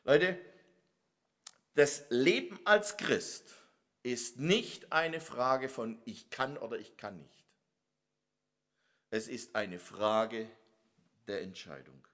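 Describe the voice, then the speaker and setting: Slow-speaking, bright-voiced Swabian preacher. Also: male voice, mid-range voice. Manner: emphatic, asks the audience rhetorical questions. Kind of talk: sermon.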